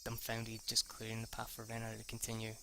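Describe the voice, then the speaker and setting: A man reading a visual novel aloud as an amateur, haltingly, stumbling and correcting himself with the odd swear word as an aside. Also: lowish voice, monotone, vocal fry, noisy background. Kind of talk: amateur read-through of a story.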